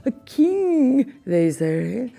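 A sly storybook lord hisses his orders in a hoarse, gravelly whisper, as a woman puts him on. The voice is dark and husky, and it rises sharply when he commands. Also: fairly high pitch, very animated, extremely slow, exaggerated voice. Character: scheming, harsh male royal adviser.

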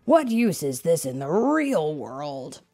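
A woman's voice: low-pitched, very animated, slow, mellow, breathy edge, faint music behind, heavily acted. A woman reading aloud and voicing a grumpy veteran male police sergeant who grumbles curtly. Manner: grumbling, curt.

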